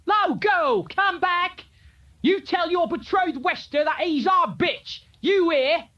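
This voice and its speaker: A man's voice: very high voice, takes it slowly, voice pushed up. A man voicing a crude, leering male thug who jeers and swaggers. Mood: jeering. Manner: jeering, lewd, swaggering. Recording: dull lo-fi recording.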